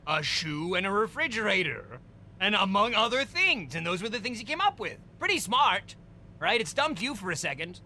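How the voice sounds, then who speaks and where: A man playing a hapless, eager wannabe villain and struggling dad in an improvised comedy sketch, halting, pleading and earnestly boastful. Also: high-pitched, big pitch swings, sharp tone, a little hiss or hum, heavily acted. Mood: defensive.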